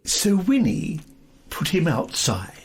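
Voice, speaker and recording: Woman narrator, low pitch, unhurried, expressive children's storytelling, music underneath. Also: crowd noise. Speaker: storybook reader. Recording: music behind the voice.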